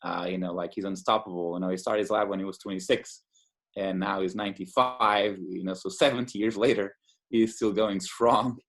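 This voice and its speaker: Enthusiastic man from Portugal, low voice. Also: fairly even intonation, fairly quick, fairly bright tone. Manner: enthusiastic, many fillers and restarts. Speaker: immunologist guest. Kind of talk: casual interview talk.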